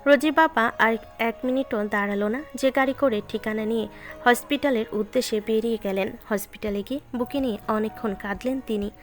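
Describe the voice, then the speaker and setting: A woman's voice: on the high side, brisk. A woman reading scripted narration of a romantic story, with music behind her voice.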